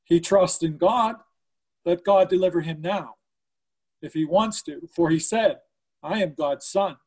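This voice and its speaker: A man's voice: sits high, a little slow, darkish tone, husky. A man giving a dramatic reading of scripture as one of several readers.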